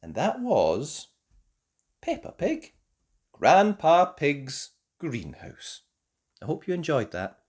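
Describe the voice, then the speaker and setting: Children's story narrator, unhurried, hugely varied intonation, read-aloud delivery. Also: mid-range voice, huge pitch swings, darkish tone, slightly rough. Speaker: storyteller and channel host.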